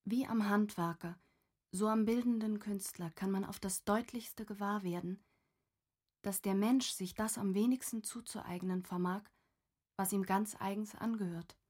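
A woman's voice: medium pitch, steady tone, a little slow, darkish tone, natural voice. An actress reading as a reflective young woman's diary voice in a composed, contemplative, scripted literary radio style. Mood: thoughtful.

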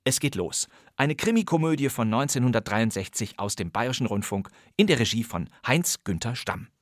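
A man's voice: medium pitch, sing-song, normal speed, dry recording, studio quality. Wry man hosting a radio crime podcast. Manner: wry, ironic commentary. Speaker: podcast host. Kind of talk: scripted radio narration. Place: studio.

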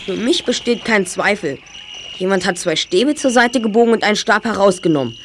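A male actor playing an analytical, sceptical boy detective, speaking deliberately and a little formally in an audio drama. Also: high voice, lively intonation, background noise. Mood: sceptical, probing. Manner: deliberate, reasoning, slightly formal. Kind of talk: German audio drama dialogue.

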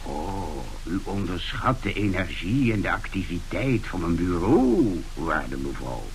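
An actor playing a pompous, mock-formal, self-assured private detective with a husky voice in a radio play. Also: male voice, deep voice, big pitch swings, a little slow, a little hiss or hum, exaggerated voice. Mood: self-important.

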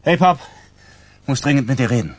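An actor playing an inarticulate, pushy gangster son in a radio crime drama, halting and aggrieved as he pleads with his father. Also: medium pitch, animated, natural voice. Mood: urgent.

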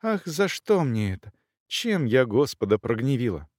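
A man's voice: mid-range voice, big pitch swings, moderate pace, a little mellow, no echo, clean studio sound. A man voicing a proper, fretful noblewoman, agitated and plaintive, in an audiobook.

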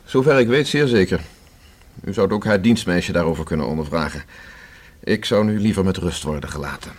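An actor in a Dutch radio play playing a guarded, haughty gentleman under police questioning, speaking in a stiff, precise, formal way. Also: sits low, animated, a little fast. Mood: curt, irritated.

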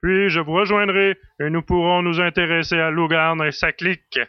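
A man's voice: a little high, little pitch movement, moderate pace, smooth, no echo, muffled recording, lightly acted. A man playing a giant space colonel, decisive and commanding, in a science fiction audio drama. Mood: confident.

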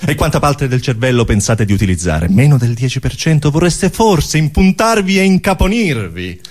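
An actor playing a pompous alien orator who coldly addresses inferior humans in formal, deliberate declamation, in an absurdist theatre speech. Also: male voice, medium pitch, big pitch swings, a little mellow. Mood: haughty.

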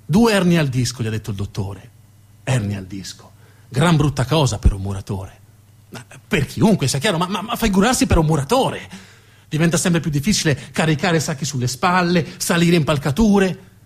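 A man's voice: on the high side, expressive, moderate pace, slightly rough. A man performing narrative theatre as a stage storyteller, with dramatic delivery and emphatic repetition.

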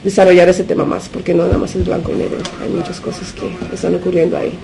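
A woman from the United States, a native Spanish speaker, talking unscripted as a radio interview guest. She speaks haltingly, searching for words and slipping in English fillers. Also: on the low side, monotone, creaky, background noise. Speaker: interview guest from a punk collective.

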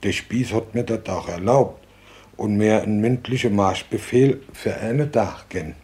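An older man playing a war veteran who haltingly recalls his youth, searching for words. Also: lowish voice, darkish tone, lightly acted. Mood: reminiscing, halting. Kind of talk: halting spoken recollection.

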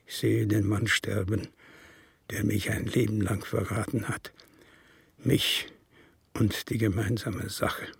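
An actor playing an embittered, unrepentant older communist in a radio drama, husky and gravelly, speaking slowly, deliberately and formally. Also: male voice, on the low side, little pitch movement, no echo, full character voice. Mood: grim, haunted. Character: embittered old communist comrade confessing, resolute and unrepentant. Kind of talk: radio drama monologue and dialogue.

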